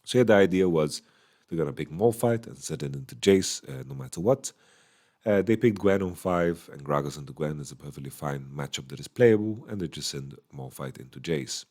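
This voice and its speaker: A man with a slightly hoarse voice, casually giving a solo esports recap from a small hotel room into a microphone, thinking aloud with many fillers. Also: extremely low pitch, lively intonation, brisk, darkish tone. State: voice going a bit hoarse. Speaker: esports analyst. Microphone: studio microphone.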